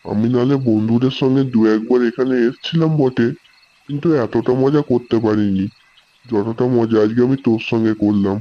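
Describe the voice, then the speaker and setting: A man's voice: mid-range voice, steady tone, normal speed, natural voice. A man playing a friendly, responsible elder brother in an amateur horror cartoon, talking casually. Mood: cheerful. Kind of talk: amateur animated horror story dialogue. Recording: dull lo-fi sound with music.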